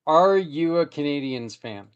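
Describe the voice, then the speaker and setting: A male host with a fairly high voice, chatting and joking his way through the interview. The sound is dry and close, with no room echo. He grew up in New Jersey and Colorado. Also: lively intonation, a little slow, smooth, muffled recording. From New Jersey, raised in Colorado. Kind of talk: casual interview chat.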